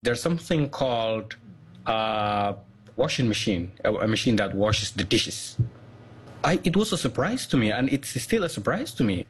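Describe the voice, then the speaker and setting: A man's voice: mid-range voice, big pitch swings, moderate pace, husky, poor audio. A man in his twenties from Somalia, chatting with amused wonder in an old documentary clip. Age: 20s. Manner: amused, laughing, wide-eyed. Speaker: documentary interviewee. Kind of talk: casual interview.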